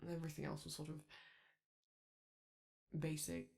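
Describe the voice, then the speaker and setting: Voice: mid-range voice, little pitch movement, normal speed, creaky, darkish tone, natural voice. A performer voicing a flamboyant male robot TV star, vain and charming, who talks theatrically and flirts with the listener in a romantic audio roleplay. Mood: fond, theatrical.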